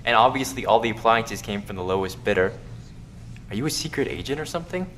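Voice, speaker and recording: A sharp, know-it-all survivor in an audio drama. His voice sits fairly low, inquisitive, with slight noise behind it. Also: lively intonation, fast talker. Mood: inquisitive, smug. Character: sharp-eyed, know-it-all male survivor, eagerly deducing.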